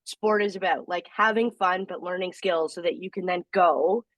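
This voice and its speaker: A woman co-hosts a podcast with a voice made deeper and gravelly by a cold. She tells stories with lively energy and laughs easily. The sound is dry and close. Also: moderate pace, no echo. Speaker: podcast co-host. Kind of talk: casual podcast chat.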